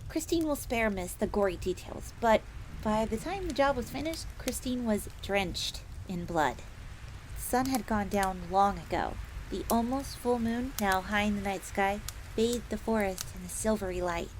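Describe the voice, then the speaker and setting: A woman's voice: mid-range voice, moderate pace, lightly acted. A woman voicing a polite, eager fox maid assassin who tells her tale in the third person in an audio roleplay. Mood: earnest storytelling. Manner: refers to herself in third person, genteel. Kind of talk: in-character storytelling, audio roleplay. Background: crackling fire ambience.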